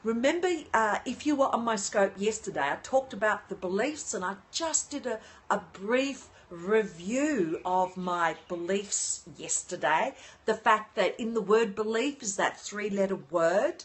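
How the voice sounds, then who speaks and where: An older woman hosting a casual livestream from her apartment on her phone, often pausing to greet and thank viewers. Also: mid-range voice, lively intonation. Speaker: livestream host. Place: at home in an apartment.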